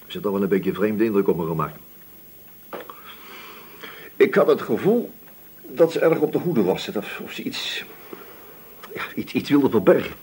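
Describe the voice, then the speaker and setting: A man acting a thoughtful, suspicious police inspector in a radio drama, speaking hesitantly with musing pauses. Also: on the low side, a little mellow, slight background noise, natural voice.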